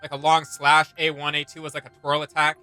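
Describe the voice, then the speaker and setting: A man's voice: fairly high pitch, completely flat delivery, brisk, sharp tone, smooth, faint music behind. A man hosting a reaction video, chatting casually and giving blunt, emphatic opinions with a teasing edge.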